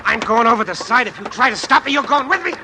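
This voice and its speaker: A desperate crooked detective in an old radio crime drama. His voice runs high and very animated. He pleads and evades with urgent 1940s melodrama delivery. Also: fast.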